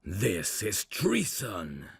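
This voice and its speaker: A man voicing a proud, betrayed prince with breathy, dramatic delivery in a fantasy ASMR roleplay. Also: fairly low pitch, wildly swooping pitch, very slow, full character voice. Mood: indignant, defiant. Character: proud prince, betrayed and falling under a spell.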